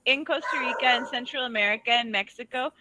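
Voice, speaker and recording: A woman's voice: on the high side, fast talker, background noise. A woman tutoring English over a video call, chatting casually and laughing often as she tells stories. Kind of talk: casual online lesson chat.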